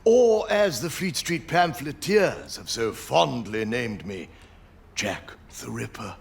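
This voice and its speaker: An actor playing a suave, gloating aristocratic villain in a video game, theatrical and mockingly courteous. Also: male voice, mid-range voice, expressive, slow, creaky. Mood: smug, mocking.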